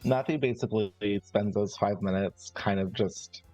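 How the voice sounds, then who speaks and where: A man's voice: fairly low pitch, normal speed, a little mellow, soft background music. A man playing a roleplaying game, casually improvising a description of his character and searching for words as he goes. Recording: slightly dull online stream audio.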